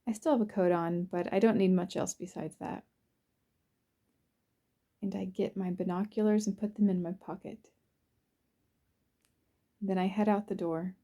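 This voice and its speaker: A woman narrates a guided visualization in a soothing, unhurried way, in short phrases with pauses between them. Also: mid-range voice, steady tone, dark tone. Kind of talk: guided visualization, first-person present-tense narration.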